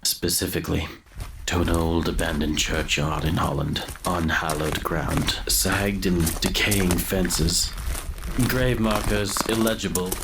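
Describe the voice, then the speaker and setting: A man's voice: low-pitched, steady tone, a little slow, very noisy. An actor playing a doomed grave robber narrating his confession in a gothic horror audio drama, with a grave, florid, brooding manner. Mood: brooding dread.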